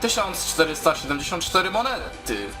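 A man's voice: high-pitched, big pitch swings, moderate pace. A man giving casual commentary as he plays a video game, exclaiming and muttering along. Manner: exclaims and mutters while playing. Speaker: gaming video host. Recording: music behind the voice.

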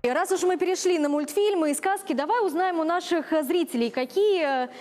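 High-voiced woman TV host. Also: brisk, darkish tone, soft background music. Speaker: talk show host. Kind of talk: presenting a TV talk show. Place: TV studio with audience.

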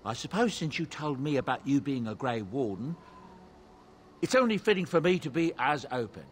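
An actor voicing a genial middle-aged dwarf merchant in a fantasy video game, talking like a theatrical, wistful storyteller. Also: male voice, medium pitch, very animated, normal speed, a little hiss or hum, close to an everyday voice. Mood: affable, nostalgic.

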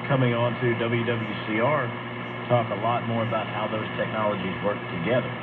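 A man hosting a conversational radio show over shortwave, speaking in a measured, deliberate way. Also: medium pitch, fairly even intonation. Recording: noisy narrow-band shortwave radio reception.

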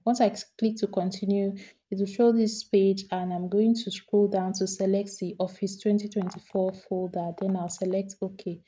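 Mellow-voiced woman, level and unhurried, walking viewers through a computer setup one click at a time. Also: medium pitch, rough recording. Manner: steady step-by-step instruction, repeats each step. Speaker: tutorial host. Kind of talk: screen-recorded software tutorial.

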